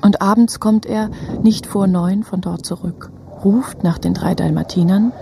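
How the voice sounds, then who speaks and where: A woman narrating a radio drama in a measured, literary, repetitive manner. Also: medium pitch, fairly even intonation, vocal fry, noisy background. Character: detached female storyteller linking scenes. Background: music.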